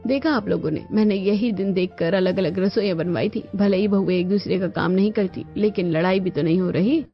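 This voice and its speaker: A woman voicing a satisfied middle-aged mother-in-law, a hopeful matriarch in a cartoon family drama, speaking in a measured way. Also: mid-range voice, lo-fi sound, close to an everyday voice. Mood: self-assured. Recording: band-limited audio with music behind.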